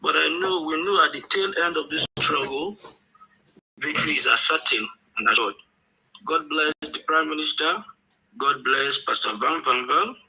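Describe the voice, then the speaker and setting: A man's voice: on the high side, moderate pace, pushed and bright. A man speaking solemnly and deferentially as an audience member in a political discussion. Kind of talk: devout political tribute. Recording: clearly noisy line with clicks.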